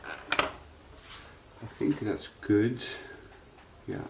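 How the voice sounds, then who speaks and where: A man's voice: lowish voice, expressive, drawn-out words, creaky, a bit bright, a little hiss or hum. A man giving a casual, unscripted hands-on repair demonstration, thinking aloud as he works as the host. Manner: muttering to himself while working, thinking aloud. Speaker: repair technician and channel host.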